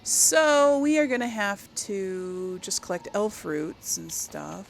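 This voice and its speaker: A woman's voice: medium pitch, very animated, slow pace, vocal fry, very noisy. A woman giving casual let's play commentary, chatting to viewers and thinking aloud as she goes. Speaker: gaming channel host.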